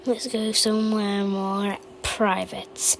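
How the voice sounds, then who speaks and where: A woman's voice: mid-range voice, flat delivery, slow, vocal fry, slight background noise. A woman voicing a cheerfully sinister animatronic from a horror game with sing-song, playful menace. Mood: playfully sinister. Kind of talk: fan recreation of horror game voice lines.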